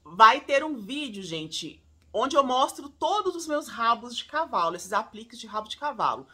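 Woman, 40s, home beauty vlogger, high crisp voice, chatty, talks to camera at close range. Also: moderate pace. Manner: animated, chatty, often addresses viewers as "gente". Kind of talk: casual product recommendations to camera. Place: home room, indoors.

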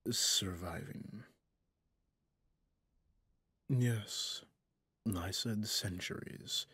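Man acting a very husky, low vampire hunter. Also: dry recording, full character voice. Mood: suspicious, brooding. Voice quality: husky, breathy, gravelly, often dropping to a whisper. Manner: slow, commanding, interrogating. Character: stern centuries-old male vampire hunter, menacing and brooding. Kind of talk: ASMR audio roleplay.

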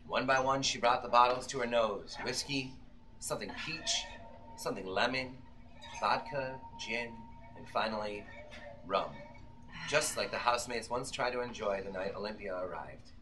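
A man in his thirties narrating a novel as a suspenseful old-time radio play, with deliberate, dramatic phrasing. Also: mid-range voice, noisy background. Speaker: author reading his own novel. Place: magazine-lined shop, indoors, small audience. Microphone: camera in the audience, some distance away. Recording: live shop performance under loud music. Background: live violin and keyboard music.

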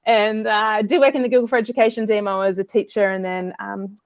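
A woman's voice: medium pitch, steady tone, fast talker, dry recording. Chatty Australian woman hosting a webinar. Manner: chatty, friendly, lots of um and uh. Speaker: webinar host. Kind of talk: casual presenting with many fillers. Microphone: video call.

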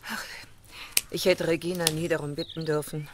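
A woman's voice: low voice, fairly even intonation, moderate pace, lightly acted. An actress playing an anxious, bitter middle-aged mother of a missing daughter in a crime audio drama, troubled and defensive. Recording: birdsong and noise behind. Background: chirping birds.

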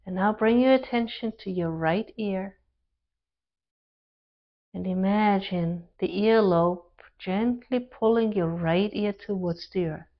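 A woman guides a relaxation meditation at a very slow pace. Her mid-pitched voice gives calm, gentle instructions in short phrases, leaving space between them. The recording is a little dull and lo-fi.

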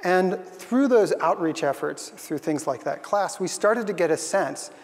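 A man's voice: high-pitched, animated, measured pace, in a large hall. A young man giving an earnest, thoughtful conference talk on a stage, with frequent fillers. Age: young adult. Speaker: conference speaker. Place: stage in a large hall.

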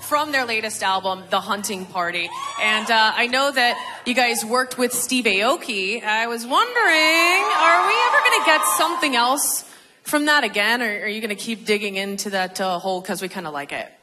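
A woman's voice: fairly high pitch, normal speed, a crowd in the background. A woman hosting and interviewing at a live theater event. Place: theater stage at a live concert. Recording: live theater sound, long reverb, music behind.

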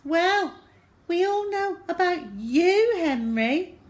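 A woman puts on a sour little storybook girl, very high and shrill. She is scornful and snivelling, loud in every word. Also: animated, slow pace, heavily acted.

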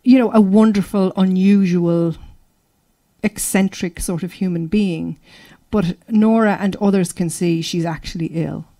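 Mellow-voiced Irish woman author. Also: mid-range voice, fairly even intonation, unhurried. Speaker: author giving a talk. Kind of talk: public literary talk, conversational. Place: indoor library room before a seated audience.

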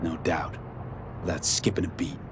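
A lowish male voice over clear vehicle noise, an actor as a streetwise game mercenary. He is level-headed and pragmatic, with curt, sardonic delivery. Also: fairly even intonation, moderate pace, natural voice. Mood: sympathetic, resolved. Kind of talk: video game voice acting.

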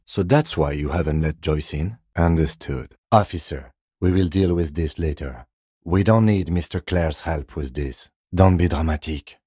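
A man voicing a composed, methodical police detective in a video game, speaking in a measured, formal, understated way. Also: rumbling low, warm tone, husky, no echo, studio quality. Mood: dry, businesslike. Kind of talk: video game character dialogue.

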